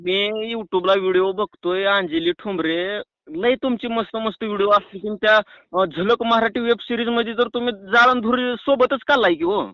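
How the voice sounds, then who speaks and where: A man's voice: high voice, fairly even intonation, normal speed, no echo. A man with a rural Maharashtrian accent making a prank phone call, bantering and protesting in a cheeky, rambling way. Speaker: prank caller. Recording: muffled lo-fi phone call recording.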